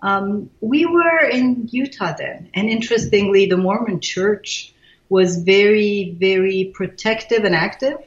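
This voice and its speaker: A woman's voice: mid-range voice, measured pace, dull audio. Iranian-born woman in her sixties, roomy recording. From Iran. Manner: thoughtful, frequent fillers and restarts. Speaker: guest, leadership expert. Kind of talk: casual interview.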